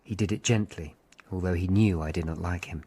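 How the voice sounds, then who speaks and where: An actor playing a watchful Tudor investigator narrating a radio drama in a measured, sombre, literary way. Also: male voice, sits low, dark tone. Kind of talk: scripted first-person drama narration. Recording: clear background noise and ambience.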